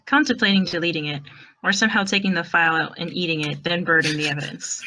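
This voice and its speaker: A woman narrating a piece of fan fiction in an expressive storytelling style. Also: fairly low pitch, slight background noise, low-quality recording.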